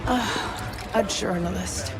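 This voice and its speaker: Snooty bitter politician's wife, very swoopy sardonic voice, music behind. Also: female voice, on the low side, animated, slow pace. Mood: bitter, disdainful. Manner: arch, disdainful, sardonic. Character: bitter, haughty politician's wife drinking at a bar. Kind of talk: video game cutscene acting.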